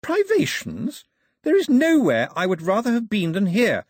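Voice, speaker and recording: A man's voice: high-pitched, huge pitch swings, moderate pace, no room sound, clean studio sound, voice pushed up, heavily acted. A man reading aloud and voicing a headstrong, fiery young woman who speaks passionately and defiantly.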